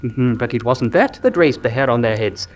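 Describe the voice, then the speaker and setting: An actor playing an earnest country doctor who tells a grim tale gravely and articulately in a radio drama. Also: male voice, mid-range voice, animated, moderate pace, slight background noise, close to an everyday voice. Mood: grave, dramatic.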